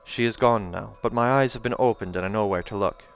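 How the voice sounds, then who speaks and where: A man's voice: lowish voice, fast, creaky. A man voicing a savage young female seer from a fantasy audiobook, mystical and haunted, speaking in dramatic, incantatory fashion. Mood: visionary, rising. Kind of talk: audiobook character acting.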